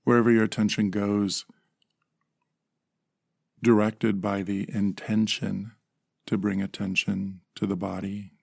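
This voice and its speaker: A man calmly leading a guided meditation in measured phrases with long pauses. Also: fairly low pitch, gravelly, dry recording, clean studio sound.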